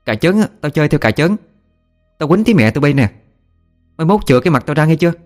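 A man voicing a bold, fiery rural mother with a Southern Vietnamese accent, sharp and scolding. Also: medium pitch, brisk, soft background music, pitched above the performer's own voice, close to an everyday voice. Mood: furious.

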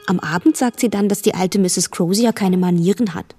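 A woman's voice: medium pitch, moderate pace, warm tone, husky, soft background music, lightly acted. An actress playing a bookish, inquisitive girl, narrating and speaking in a radio drama. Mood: observant. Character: bookish, inquisitive girl recalling events as they happen.

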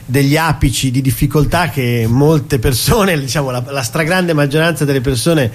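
A man's voice: mid-range voice, normal speed. A man chatting as a guest on a radio show, talking in long run-on sentences and laughing often. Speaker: stage performer and guest. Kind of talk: casual radio chat. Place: radio studio.

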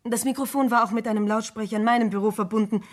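An actress playing a composed, precise secretary in a German radio crime play, speaking formally and carefully. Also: female voice, fairly high pitch, steady tone, fast talker, lightly acted. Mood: matter-of-fact. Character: composed, precise female secretary answering police.

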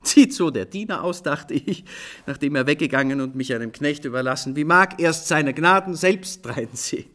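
An actor in a German radio play playing an exuberant composer who tells of his own mishap in an animated, theatrical way. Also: on the high side, normal speed, few pauses. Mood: mock horror. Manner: theatrical, animated, storytelling with shifting pace. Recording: faint music behind the voice.

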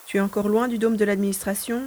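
Actress as worried careful parent guiding a mission in a radio play, middle-pitched, protective, a bit wry. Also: female voice, little pitch movement, fast, mellow, slight background noise, natural voice. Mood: caring, cautious. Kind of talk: audio drama dialogue.

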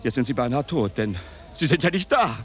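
A man's voice: medium pitch, expressive, a little fast, fairly bright tone, faint music behind, close to an everyday voice. Theatrical uncle with swooping delivery, acted by a man. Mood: grandly declaiming. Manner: declamatory, dry, evasive. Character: theatrical, exasperated uncle saddled with his niece. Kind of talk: radio drama acting.

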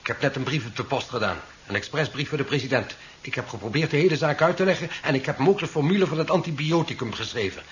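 An actor playing a resourceful, level-headed conspirator in a 1970s radio drama, earnest and explanatory. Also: male voice, mid-range voice, expressive, quick pace, hardly any pauses, natural voice. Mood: earnest, composed. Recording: dull, slightly noisy 1970s radio recording.

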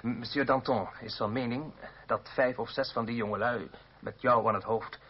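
A man's voice: medium pitch, fairly even intonation, normal speed, lightly acted. An actor playing an earnest male politician in a radio drama, explaining and placating, at times hesitant. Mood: hesitant, persuading. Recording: dull noisy old radio recording.

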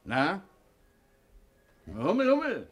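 An actor playing a roguish ex-sailor on the run in a 1960s German radio drama, with a teasing, conspiratorial, casual manner. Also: male voice, sits high, huge pitch swings, drawn-out words, exaggerated voice.

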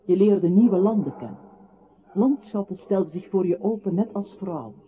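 A man's voice: high-pitched, expressive, moderate pace. A male actor in a radio play playing an earnest, lyrical narrator who recites in an articulate storytelling manner. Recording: thin phone-like radio sound, faint music.

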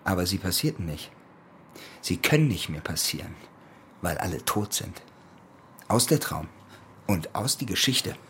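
A menacing young soldier in a radio drama, commanding and taunting. His pitch swings widely as he bullies like a domineering big brother. There is faint noise behind him. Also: big pitch swings, unhurried, long pauses, fairly bright tone, heavily acted. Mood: grim storytelling. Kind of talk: German radio play acting.

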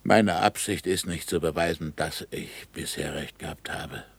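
An actor in a German radio play, playing a stubborn, sardonic aging astronomer with a gravelly, husky voice and deliberate, emphatic delivery. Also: male voice, deep voice, heavily acted. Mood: intense, deliberate. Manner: deliberate, emphatic speech-making.

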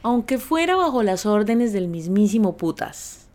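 A woman's voice: medium pitch, big pitch swings, a little slow, a little mellow. A woman with a Colombian accent playing brusque, combative characters theatrically in a radio play. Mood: indignant. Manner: emphatic, theatrical. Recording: music running under the voice.

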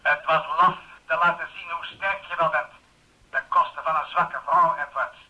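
Dreigende beller met scherpe stem. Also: male voice, fairly high pitch, fairly even intonation, moderate pace, sharp tone, dull audio. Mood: menacing, righteous. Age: middle-aged. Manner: formal, accusing, carefully phrased. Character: menacing, righteous middle-aged male anonymous caller on tape. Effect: telephone message played from tape. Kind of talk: radio play acting.

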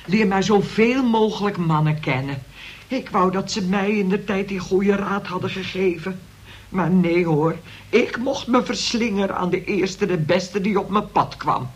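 A woman's voice: medium pitch, unhurried, breathy edge. An actress in a Dutch radio play playing a worldly, broad-minded married woman with a breezy, frank manner. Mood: wry encouragement.

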